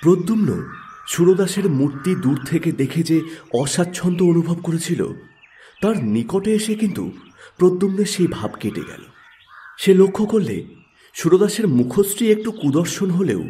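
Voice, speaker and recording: A man's voice: high voice, sing-song, moderate pace, pauses often, mellow. A man narrating a literary story in a scripted audiobook style.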